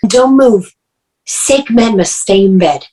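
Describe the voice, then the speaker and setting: An actress playing an anxious, devoted young sister nursing her sick brother, gentle and pleading. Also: medium pitch, lively intonation, slow, rough recording. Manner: gentle and pleading, urgent when alarmed. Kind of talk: stage play reading.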